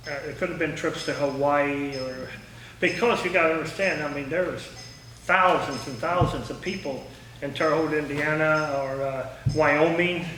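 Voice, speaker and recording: Male speaker for an informal talk, folksy storyteller, forceful higher voice, distant in a very echoey room. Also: normal speed. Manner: folksy, anecdotal. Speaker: guest speaker, former air traffic controller. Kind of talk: informal public talk with question and answer. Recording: echoey room, distant mic, faint noise.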